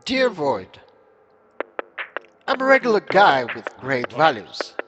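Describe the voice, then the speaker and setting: An actor playing an awkward, earnest regular guy unlucky in love, reading a halting, self-pitying letter in a comic audio drama. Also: a little high, huge pitch swings, slight background noise, full character voice. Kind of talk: scripted comic letter monologue. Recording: muffled lo-fi sound under loud synth music.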